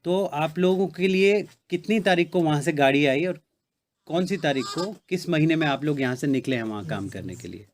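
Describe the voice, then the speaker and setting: A male interviewer with a slightly raised pitch asks patient, step-by-step questions. He often repeats the answers back to check them. His tone stays calm and methodical. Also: moderate pace, slight background noise.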